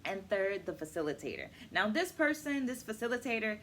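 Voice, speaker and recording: A middle-aged woman hosting a book club vlog, explaining to camera with deliberate, emphatic phrasing. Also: mid-range voice, sing-song, a bit bright, slight background noise. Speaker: host of a virtual book club, educator. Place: small furnished room at home, indoors.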